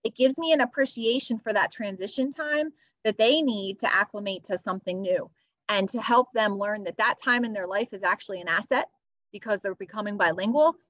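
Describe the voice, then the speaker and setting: A woman's voice: mid-range voice, fairly quick, a bit bright, no room sound, lo-fi sound. A woman teacher talking in an earnest, upbeat way as a podcast guest, with many "you know" fillers. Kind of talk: podcast interview. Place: near Philadelphia.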